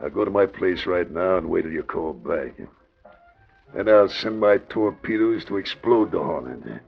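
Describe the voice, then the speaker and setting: An actor playing a ruthless, suspicious mob boss in a 1940s radio crime drama, gravelly and menacing, talking in tough slang. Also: male voice, deep voice, animated, normal speed, soft background music, heavily acted. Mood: suspicious menace. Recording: muffled old radio recording.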